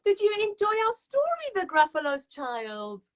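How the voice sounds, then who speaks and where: A woman's voice: very high-pitched, huge pitch swings, normal speed. A woman hosting a live story time, talking to young children in an encouraging, gushing, sing-song way. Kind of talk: live read-aloud and chat addressed to young children.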